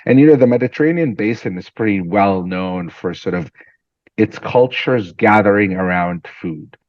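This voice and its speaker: A man from Syria, a guest entrepreneur, telling stories in an animated, conversational interview and often repeating words as he starts a sentence. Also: fairly low pitch, normal speed, dry recording, muffled recording.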